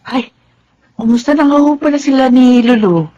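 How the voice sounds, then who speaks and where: An actress playing a humble, loyal middle-aged former housemaid in a radio drama, polite and deferential. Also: female voice, high-pitched, normal speed, dark tone, lo-fi sound.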